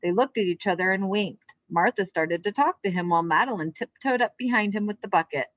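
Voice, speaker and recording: A woman's voice: medium pitch, a little fast, no room sound, dull audio. A woman with a mid-range voice talks as a teacher of young children. She keeps her wording simple, as in a lesson or storybook read-aloud. The recording is dry, with little room sound. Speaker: elementary physical education teacher.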